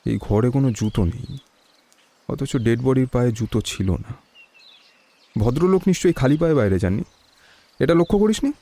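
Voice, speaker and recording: Man acting a mellow, probing detective. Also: medium pitch, animated, lightly acted. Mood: calm, probing. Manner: inquisitive, measured. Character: calm, probing young male detective. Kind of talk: Bengali audio story acting.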